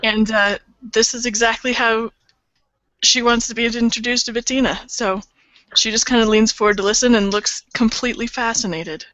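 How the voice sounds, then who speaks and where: A woman's voice: a little high, steady tone, normal speed, fairly bright tone, no room sound, highs cut off. A woman roleplayer casually narrating what her character does. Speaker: tabletop roleplayer.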